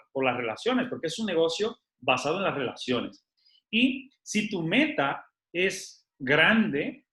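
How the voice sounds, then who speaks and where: Animated man in echoey room. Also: a little high. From Spain. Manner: animated, rhetorical questions, frequent fillers. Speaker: network marketing team leader. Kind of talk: motivational leadership talk to a group.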